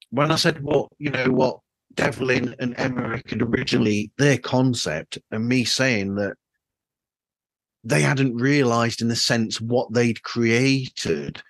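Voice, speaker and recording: A man's voice: mid-range voice, slow, dry recording, low-quality recording. A man hosting a casual interview, talking in an enthusiastic, rambling way through a troublesome microphone. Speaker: podcast host. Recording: flawed, troublesome microphone sound.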